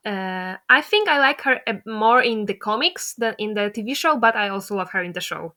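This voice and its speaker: A woman's voice: mid-range voice, animated, fairly quick, pushed and bright. A woman hosting a casual interview, talking in an enthusiastic, effusive way. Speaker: interviewer, fandub creator.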